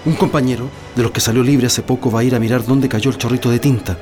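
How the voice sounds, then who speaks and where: Male actor for radio drama role: Chilean political prisoner in charge, calm and methodical, mid-pitched voice, music underneath. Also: quick pace, natural voice. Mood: methodical, firm. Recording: music behind the voice.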